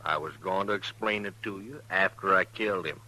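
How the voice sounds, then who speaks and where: A menacing hired gunman from a 1950s radio western. His voice is low and sharply forceful. He drawls his folksy lines with smug insolence. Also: a little fast, slight background noise, poor audio. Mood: smug defiance.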